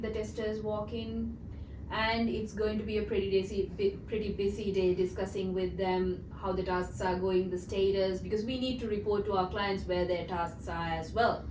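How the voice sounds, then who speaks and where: A young woman from Sri Lanka, a project coordinator, chatting casually in an interview with lots of "like" and "um". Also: medium pitch. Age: young adult. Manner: chatty, uses many fillers like "like" and "um". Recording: echoey room, mic at a distance, noisy.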